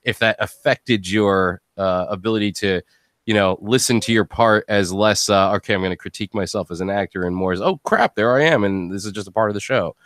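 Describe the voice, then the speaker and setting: Low-voiced man hosting a casual podcast. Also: a little fast, fairly bright tone, no room sound, clean studio sound. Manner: rambling, conversational, chuckles often. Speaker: host.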